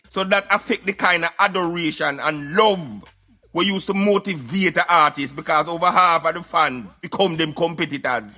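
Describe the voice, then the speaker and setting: A man's voice: high-pitched, sharp tone, exaggerated voice. A man with a Jamaican accent playing a streetwise, preachy Rasta commentator ranting insistently on a satirical radio show. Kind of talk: satirical radio rant in patois.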